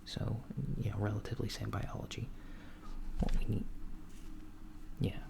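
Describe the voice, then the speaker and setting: A man voicing a calm alien doctor in a soft-spoken, half-whispered ASMR roleplay, gently asking a human patient clinical questions. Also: lowish voice, normal speed, vocal fry, noisy background. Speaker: ASMR creator.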